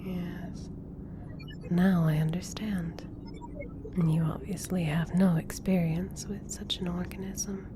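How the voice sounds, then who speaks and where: Composed female doctor voiced by an actress: slow, lowish, formal and clinical, audio roleplay with clear background noise. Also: level tone. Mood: analytical. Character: intelligent, composed female government scientist doctor. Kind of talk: ASMR roleplay drama.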